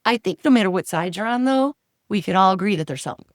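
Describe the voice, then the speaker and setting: A woman's voice: mid-range voice, animated, no echo, studio quality. A woman author being interviewed on a podcast, chatty and emphatic as she answers. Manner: chatty and emphatic, quick agreements. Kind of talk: casual interview talk.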